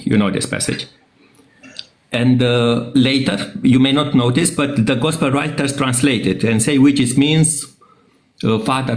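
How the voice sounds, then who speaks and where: A man with a Romanian accent, a native Romanian speaker, arguing in a casual debate and often pausing to search for words. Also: medium pitch, echoey room. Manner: halting, searching for words, fillers.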